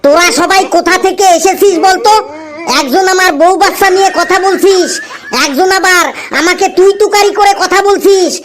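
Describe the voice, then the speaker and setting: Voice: very high voice, steady tone, moderate pace, a bit bright. An actor voicing an irritable, bossy shopkeeper in a comedy cartoon, scolding and taunting with sarcasm. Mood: mocking, exasperated. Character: irritable bald shopkeeper who checks exam results, bossy and mocking.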